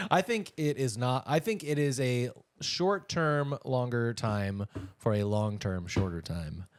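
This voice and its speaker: A man's voice: medium pitch, expressive, slow pace, slight background noise. A man co-hosting a podcast in casual, joking conversation, often acting out little imagined remarks. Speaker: podcast co-host. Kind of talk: casual conversational chat with a partner.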